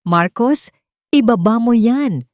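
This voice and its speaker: A woman's voice: fairly high pitch, lively intonation, slow pace, a little mellow, no echo, studio quality, pitched above the performer's own voice. A woman voicing an anguished wealthy father in an audio story, speaking urgently. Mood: pleading. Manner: urgent, trembling commands. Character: anguished wealthy father pleading for his loved ones.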